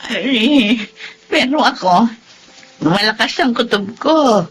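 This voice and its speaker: A woman's voice: on the high side, animated, slow pace. An actress playing an elderly, hopeful and tender elder sister in a Filipino melodrama, plaintive and eager, with noise behind her. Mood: eager, tearful. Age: older. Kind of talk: emotional Filipino melodrama acting. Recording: noisy ambient background.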